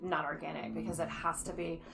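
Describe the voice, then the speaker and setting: A woman's voice: deep voice, little pitch movement, a little fast, gravelly. A woman in middle age playing a pushy, oblivious, self-absorbed best friend in an improvised soap, rambling on insistently. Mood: sleepy, entitled. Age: middle-aged. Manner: rambling, breezily insistent, valley-style filler talk. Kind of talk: improvised soap-opera comedy over a video call.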